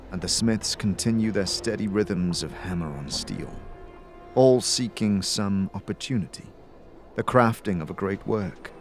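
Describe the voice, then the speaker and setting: A man giving dramatic scripted narration as the narrator of a fantasy audio drama. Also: lowish voice.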